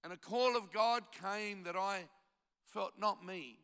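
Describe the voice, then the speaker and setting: A man's voice: sits high, slow pace, sharp tone, smooth, big-room sound. A man in his seventies, a pastor raised in Australia by Italian parents, preaching a conference sermon with self-deprecating stories and emphatic exhortation. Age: 70s.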